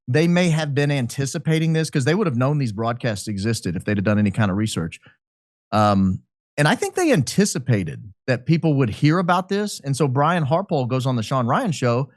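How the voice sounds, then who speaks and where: A man's voice: fairly high pitch, dry recording, studio quality. Man giving emphatic talk-show commentary. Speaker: podcaster guest.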